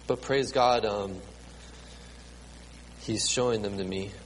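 A man in his late thirties preaching a sermon to a congregation with children, halting and repeating words, with many ums. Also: lowish voice. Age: 30s. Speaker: pastor. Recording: reverberant hall, faint ambient noise.

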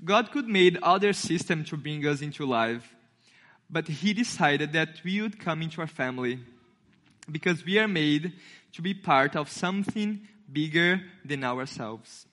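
A young man from Brazil with a Brazilian Portuguese accent preaches to a congregation in a friendly, storytelling way. His voice sits a little high and sounds bright and forward. He acts out the voices of the people in his story as he goes. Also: lively intonation, a little slow. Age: 20s. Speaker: intern and youth speaker. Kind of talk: sermon with storytelling.

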